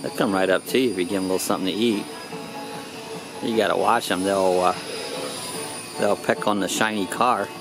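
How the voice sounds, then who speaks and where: Gravelly older man filming outdoors. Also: deep voice, music in the background, low-quality recording. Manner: relaxed, rambling, with frequent "uh" pauses. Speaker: vlogger filming at a model airfield. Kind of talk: casual narration while filming. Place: outdoors at a grassy flying field.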